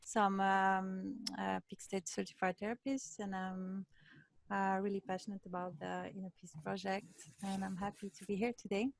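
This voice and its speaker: A woman from Morocco, a therapist introducing herself softly and quietly. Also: medium pitch, level tone, normal speed, creaky, no echo.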